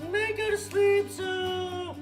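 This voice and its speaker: A woman voicing an excitable, bossy little girl puppet who rambles and repeats herself while hosting a playful kids' show. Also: very high-pitched, slow. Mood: giddy, rambling. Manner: rambling, repetitive, childlike. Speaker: children's puppet show host. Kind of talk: playful improvised storytelling for kids. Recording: roomy echo, faint music behind.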